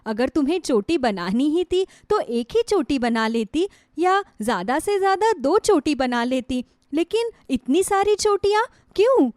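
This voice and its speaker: A woman's voice: high voice, very animated, unhurried, few pauses, a little mellow, no room sound, clean studio sound. A woman voicing a polite but fussy, judgmental middle-aged prospective mother-in-law in a moral story cartoon. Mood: puzzled.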